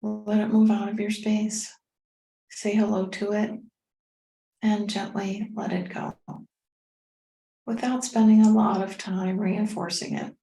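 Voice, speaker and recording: A woman leading a guided meditation as a teacher, speaking in a gentle, unhurried, soothing way with pauses. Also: mid-range voice. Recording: roomy, echoing sound.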